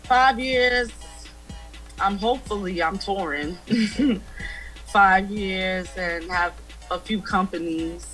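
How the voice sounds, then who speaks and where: A woman's voice: medium pitch, slow pace, a bit bright, faint music behind, poor audio. A young woman in her twenties, a guest musician talking casually and easygoingly over a video call from a room at home. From United States. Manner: easygoing, laughs often. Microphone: video call webcam.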